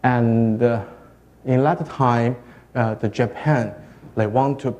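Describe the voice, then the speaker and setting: Halting man giving a technical talk. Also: mid-range voice, steady tone, darkish tone. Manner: hesitant, halting, with many restarts. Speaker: conference speaker on web standards. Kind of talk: technical conference presentation.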